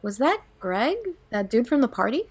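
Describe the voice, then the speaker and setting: A woman's voice: fairly high pitch, big pitch swings, moderate pace. An actress playing a sincere young woman, blunt but caring, in an audio drama. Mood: surprised, concerned. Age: young adult.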